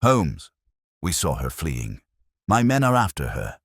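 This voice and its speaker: A man voicing a gruff, wary male police inspector in an audiobook. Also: a bass voice, huge pitch swings, measured pace, dry recording, studio quality, full character voice. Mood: urgent. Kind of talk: audiobook character voice.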